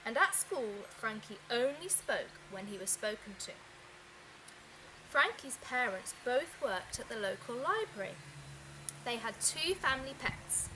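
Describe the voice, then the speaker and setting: Woman, children's storybook reader, high-pitched, very lively intonation, expressive, dramatic emphasis, slightly noisy recording. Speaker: teacher reading a picture book aloud. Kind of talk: children's storybook read-aloud.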